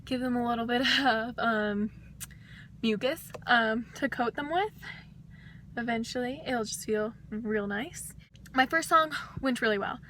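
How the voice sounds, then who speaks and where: A young woman talking casually to the camera, slightly hoarse after singing, rambling with fillers and small laughs. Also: a little high, unhurried, pushed and bright, a little hiss or hum. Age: young adult. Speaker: vlogger, voice student. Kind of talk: casual vlog talk to camera.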